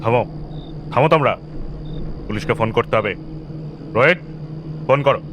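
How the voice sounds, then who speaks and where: A man's voice: mid-range voice, normal speed, a bit bright, a little hiss or hum, natural voice. A man playing a rattled boss in an audio thriller, barking short phrases and stammering. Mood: commanding.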